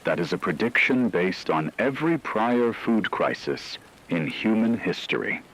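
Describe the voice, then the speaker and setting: A man playing a grave emergency radio announcer, reading scripted broadcast narration in a measured, formal, somber way. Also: lowish voice, lively intonation, a bit bright, exaggerated voice, poor audio. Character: grave male emergency radio announcer during global blackout.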